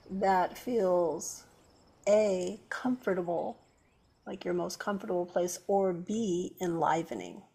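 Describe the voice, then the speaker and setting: Female meditation guide, gentle and very unhurried, creaky low-effort delivery with pauses, faint music underneath. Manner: gentle, unhurried, pausing between phrases. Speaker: yoga meditation teacher.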